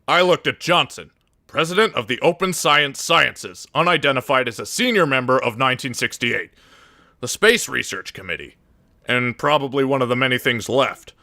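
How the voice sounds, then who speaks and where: A man's voice: mid-range voice, animated, normal speed, gravelly, pushed and bright, dry recording, clean studio sound, heavily acted. A man voicing a brash company boss in a game parody, declaiming announcements in stilted phrases. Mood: declamatory. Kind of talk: parody game voice lines, read from garbled machine translation.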